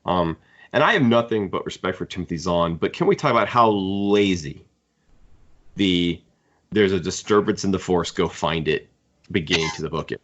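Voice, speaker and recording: A man's voice: low-pitched, normal speed, a bit bright, highs cut off. A man co-hosting a podcast, giving critical opinions in casual book discussion. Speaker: podcast host.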